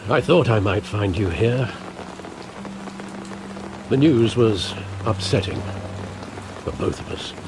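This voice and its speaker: An actor playing a loyal elderly male butler, speaking in a measured, formal, grave way. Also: fairly low pitch, expressive, noisy background. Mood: solemn. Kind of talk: video game cutscene acting. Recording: game audio with rain ambience. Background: rain and ambience.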